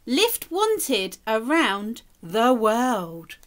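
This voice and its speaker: A woman's voice: on the high side, sing-song, drawn-out words, exaggerated voice. A woman voicing a tiny adventurous snail who longs to travel, in a children's storybook reading. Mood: eager. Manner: yearning, eager.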